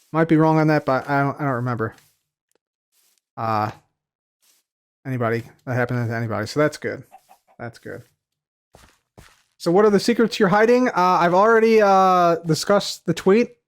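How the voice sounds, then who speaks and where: A man chatting casually on a live stream, answering viewer questions, rambling and repeating himself with many fillers, his voice a little tired from heavy use. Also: a little high. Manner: trails off quietly at the ends of phrases; reads viewer questions aloud from chat.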